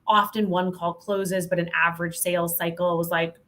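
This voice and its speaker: A woman's voice: lowish voice, little pitch movement, normal speed, pushed and bright. A middle-aged woman speaking candidly and emphatically as a guest in a conversational podcast interview, with a wry edge. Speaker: podcast guest, sales trainer.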